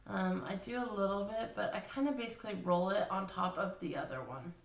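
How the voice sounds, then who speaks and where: A woman's voice: mid-range voice, a little fast. Woman chatting through a craft demo. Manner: relaxed, chatty, thinking aloud with fillers. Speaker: craft instructor. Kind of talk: casual hands-on craft tutorial. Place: indoor craft table. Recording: roomy, slightly distant.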